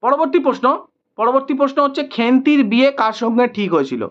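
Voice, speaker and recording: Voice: high voice, animated, fast talker. A teacher giving a question-and-answer exam lesson in a didactic way, repeating questions and answers for emphasis. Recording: slightly dull lo-fi sound.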